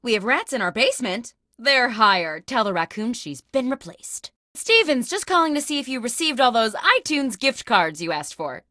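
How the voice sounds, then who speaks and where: Casting: comic game boss, self-important and oblivious, highish voice, wildly animated phone manner, dry close recording. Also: female voice, moderate pace, few pauses, dry recording. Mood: brisk, bossy. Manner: bossy, exaggerated, theatrical delivery. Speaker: video game character host. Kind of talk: comic scripted phone monologues.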